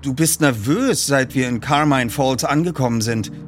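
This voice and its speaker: A man's voice: mid-range voice, moderate pace, music in the background, lightly acted. A man voicing an insistent, probing, articulate teenage boy detective in an audiobook. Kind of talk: audiobook character reading.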